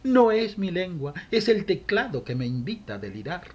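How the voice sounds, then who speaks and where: A man's voice: high voice, sing-song, moderate pace, husky. A man in his sixties with a Rioplatense accent, reciting a humorous rhymed monologue at home into a webcam, theatrical and mock-gossipy with chuckling asides. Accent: Rioplatense Spanish. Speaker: monologue host. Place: home room, indoors. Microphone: webcam at desk.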